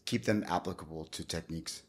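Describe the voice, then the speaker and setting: Low-voiced man, measured podcast guest. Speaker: guest, gym owner and martial arts coach. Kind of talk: casual podcast conversation. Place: small indoor podcast studio. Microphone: podcast microphone on a boom arm.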